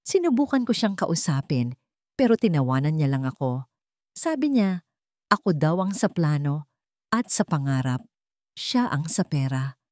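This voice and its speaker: A woman's voice: sits low, mellow, no echo. A frightened, cornered father confides in his journal, as a woman narrator reads him out. It is a dramatic storybook reading. The sound is dry, with little room around the voice. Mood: troubled, hurt.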